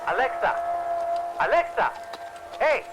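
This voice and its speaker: A man's voice: very high voice, very animated, a little slow, loud music behind the voice, exaggerated voice, rough recording. A man playing an urgent, insistent messenger calling out in an audio drama.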